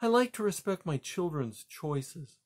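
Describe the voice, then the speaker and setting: Guy putting on a soft-hearted worried mama mouse, high swoopy voice, polite n hesitant, storybook reading. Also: big pitch swings, a little slow, exaggerated voice. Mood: gentle, defensive. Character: gentle, anxious, hurt mother mouse.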